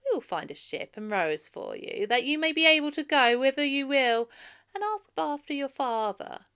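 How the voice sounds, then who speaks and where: Woman playing arrogant, mocking suitor, raised pitch. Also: big pitch swings, normal speed, fairly bright tone. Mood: smug coaxing. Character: mocking, scheming male suitors, arrogant villains.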